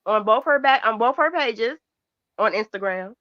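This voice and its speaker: A woman's voice: on the high side, expressive, normal speed, dry recording, dull audio. A woman in her mid-forties joining a casual livestream chat, talking in a plain, easygoing way. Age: 40s. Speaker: livestream guest.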